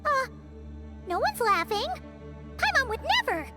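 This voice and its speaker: Super high squeaky little fairy sidekick from a game, excitable n blunt, bouncy. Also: female voice, measured pace, over loud music, exaggerated voice. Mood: nervous denial. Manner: refers to self in third person, blunt. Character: tiny childlike fairy companion, squeaky, candid and excitable. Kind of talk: scripted game dialogue.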